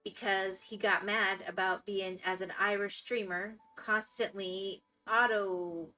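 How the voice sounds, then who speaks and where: A woman's voice: mid-range voice, steady tone, takes it slowly, bright, forceful tone, soft background music, low-quality recording. A woman with a Florida accent, chatting casually with viewers as a live streamer and reacting to their comments. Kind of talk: casual chat while gaming.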